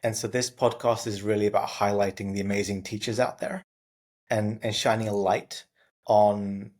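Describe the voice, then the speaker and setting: A man in his thirties hosting an interview podcast, polite and measured, with "um" fillers. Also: lowish voice, flat delivery, a little mellow. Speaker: podcast host.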